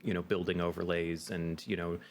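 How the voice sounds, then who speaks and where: A man in a casual interview, talking as a guest in a halting, reflective way. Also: low voice, flat delivery. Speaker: podcast guest, actual-play game master. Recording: clear background noise.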